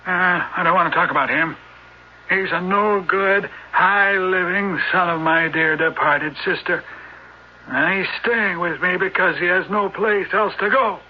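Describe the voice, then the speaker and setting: A garrulous old gentleman of means in a radio play. His voice sits high for a man, and he talks in a folksy, hesitating way, jovial with a crotchety edge. The sound is a dull vintage broadcast. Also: a little slow. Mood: grumpy. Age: older. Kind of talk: old-time radio drama acting. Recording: dull, band-limited old radio recording.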